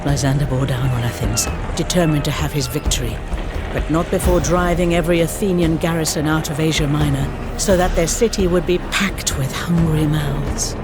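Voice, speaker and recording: Over prominent music, a male actor voices a wry male storyteller for a historical game. His voice sits a little high for a man, and his scripted narration is literary and theatrical. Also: fairly even intonation, normal speed, close to an everyday voice. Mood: dramatic. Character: wry male storyteller recounting ancient Greek wars. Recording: studio voice under loud music. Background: prominent background music.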